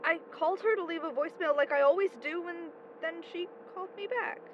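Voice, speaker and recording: A woman's voice: very high voice, quick pace, dull audio, slight background noise. A woman playing a headstrong, desperate young woman in an audio drama, sarcastic and insistent. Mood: stubborn, tense.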